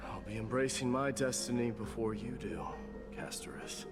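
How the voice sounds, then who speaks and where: A man playing a stoic, proud warrior prince in a video game, speaking in a grave, measured, formal way. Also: mid-range voice, natural voice. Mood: resolute. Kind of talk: dramatic video game voice acting. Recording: game dialogue with music behind it.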